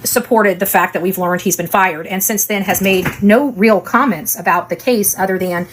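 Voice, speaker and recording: A woman's voice: mid-range voice, normal speed, a little hiss or hum, low-quality recording. A woman with a mid-range voice talks casually as an online commentary host. Her delivery is rambling and opinionated, circling back to points and repeating words for emphasis. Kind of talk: casual true-crime case commentary livestream.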